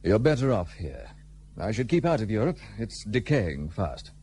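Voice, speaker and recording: A worldly, guarded gentleman of a bygone age in a radio drama. His low voice moves widely in pitch while staying curt and dry. A faint hum sits behind him. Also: very animated, normal speed. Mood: bitter, prickly. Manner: curt, dry, formal, old-fashioned. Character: gruff, guarded, well-travelled English gentleman seeking isolation. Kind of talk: period radio drama acting.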